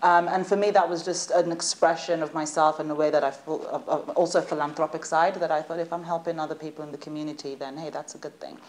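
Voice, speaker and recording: A woman, a guest in a studio interview, telling stories in an animated, chatty way and laughing at times. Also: deep voice, fast, hardly any pauses. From United Kingdom. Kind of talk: casual interview chat.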